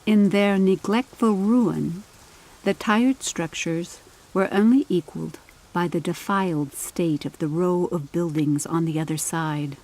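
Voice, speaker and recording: A woman's voice: lowish voice, unhurried, darkish tone, poor audio. A woman reading a scripted, dramatic story as an audiobook narrator.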